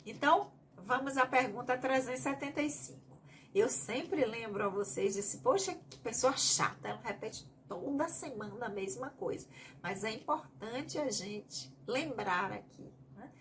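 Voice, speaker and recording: Higher-voiced Bahian woman leading study. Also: a little slow, a bit bright, slight background noise. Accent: Bahian Brazilian Portuguese. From Bahia, Brazil. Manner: reads a question aloud, then explains it at length in her own words. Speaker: study group leader. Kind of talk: teaching a spiritist study group.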